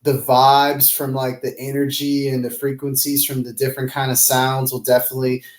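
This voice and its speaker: Male podcast host, mid-pitch, upbeat and affirming, recorded in an echoey room. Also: completely flat delivery, normal speed. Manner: upbeat, affirming, repeats phrases. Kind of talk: casual interview.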